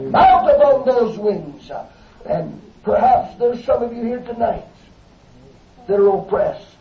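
A man preaching a fervent Pentecostal sermon, building to shouts and calling out hallelujahs. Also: sits high. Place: church service. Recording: echoey dull lo-fi recording from a distance.

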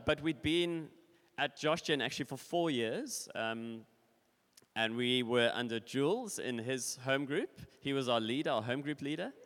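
A man in his forties from Africa, sharing his testimony at a church service in a relaxed, rambling way. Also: fairly high pitch, smooth. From South Africa. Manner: casual, rambling, with fillers and laughs. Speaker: visiting speaker. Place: church hall. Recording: long hall reverb.

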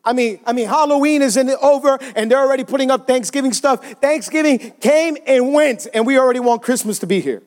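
A man's voice: very high voice, brisk, few pauses. A male pastor preaching a sermon in a conversational way, often checking in with the congregation for responses. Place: church service.

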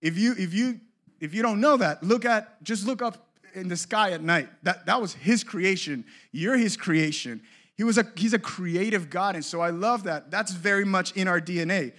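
A man from Colombia with a fairly high voice gives a conference workshop talk. He speaks casually, jokes with the room, and stumbles through fillers and restarts. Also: normal speed, fairly bright tone. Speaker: creative ministry leader speaking at a conference.

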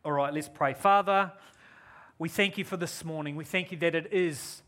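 A man's voice: a little high, moderate pace, fairly bright tone. Upbeat New Zealand man preaching. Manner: enthusiastic, upbeat and effusive. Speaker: guest preacher. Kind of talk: live church sermon and prayer. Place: church service.

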